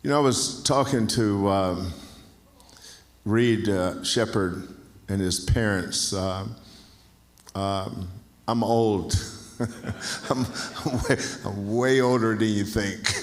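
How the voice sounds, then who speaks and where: A man's voice: fairly low pitch, takes it slowly. A man in his sixties from North Carolina, a basketball coach, telling folksy stories in an onstage interview and often restarting phrases. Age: 60s. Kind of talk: onstage interview at an awards banquet.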